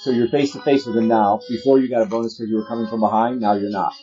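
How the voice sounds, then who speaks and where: A man's voice: on the low side, flat delivery, quick pace, highs cut off, over music. A man running a tabletop role-playing game, narrating the action and explaining rules conversationally. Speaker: game master.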